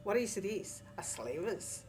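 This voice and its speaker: Slow-speaking Italian-accented woman. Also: mid-range voice, very animated, a little mellow, faint music behind. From Italy. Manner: slow, searching for words, second-language English. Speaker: interviewee. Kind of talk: casual recollection.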